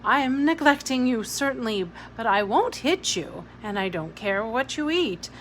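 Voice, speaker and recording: A woman's voice: fairly high pitch, lively intonation, normal speed, a little hiss or hum, close to an everyday voice, rough recording. A woman reading a storybook, voicing a weary, blunt, guilt-ridden woman guardian with an emphatic manner. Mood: exasperated.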